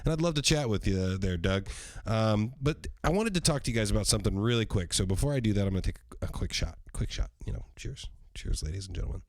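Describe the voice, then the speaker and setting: Hoarse low-voiced man, rambling casually. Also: lively intonation, fast talker, no room sound. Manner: rambling and profane, with many ums. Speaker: podcast host. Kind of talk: casual solo podcast livestream.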